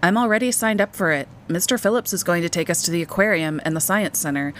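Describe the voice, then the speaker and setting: A woman's voice: lowish voice, quick pace, fairly bright tone, slight background noise, close to an everyday voice. A woman voicing a worried, stubborn ten-year-old boy who keeps protesting in a storybook. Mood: upset pleading. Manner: protesting, persistent. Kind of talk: storybook character voice.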